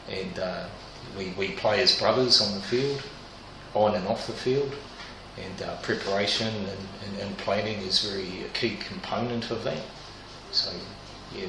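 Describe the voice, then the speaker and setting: A man's voice: on the low side, noisy background. A man, a cricket player, speaking earnestly and proudly. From New Zealand. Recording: echoey room.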